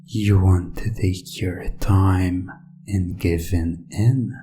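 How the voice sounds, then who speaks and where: A hypnotist speaking in an ASMR style, commanding and coaxing, with deliberate pauses between short phrases. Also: deep voice, fairly even intonation, warm tone, a little hiss or hum.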